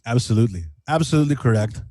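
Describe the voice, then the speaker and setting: A man chatting casually as a singer-songwriter guest in an interview, animated and rambling, repeating phrases for emphasis. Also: mid-range voice, racing, dry recording, clean studio sound. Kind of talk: casual radio interview chat.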